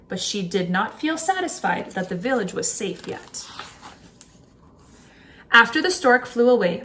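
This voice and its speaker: A woman reading a children's story aloud in an expressive, dramatic storytelling manner, like a teacher to a class. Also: mid-range voice. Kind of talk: expressive children's picture book read-aloud.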